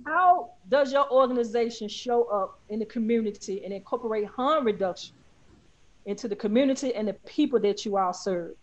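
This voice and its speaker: A moderator on a video call keeps the panel moving with quick, playful prompts. The voice sits at a middle pitch, and the compressed call audio sounds dull. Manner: brisk, affirming, playful.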